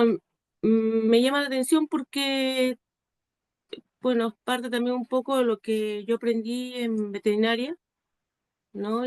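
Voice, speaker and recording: A woman's voice: medium pitch, clear, resonant tone. A woman from Peru introducing herself as a student in an online class, speaking hesitantly with tag questions.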